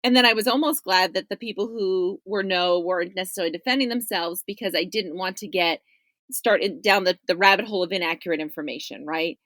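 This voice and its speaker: A woman's voice: fairly low pitch, brisk, a bit bright, no echo. A woman journalist talking casually as an interview guest on a podcast.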